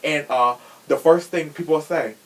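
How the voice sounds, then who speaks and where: A man's voice: on the high side, a little slow, poor audio. A man chatting casually on a true crime podcast in a sassy, blunt, slangy way. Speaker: podcast host.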